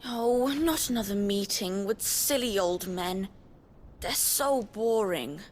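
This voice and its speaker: A woman's voice: mid-range voice, lively intonation, slow pace, a little hiss or hum. A woman voicing a spoiled, petulant young child of an emperor in a video game drama, with a whiny manner. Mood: bored, whining.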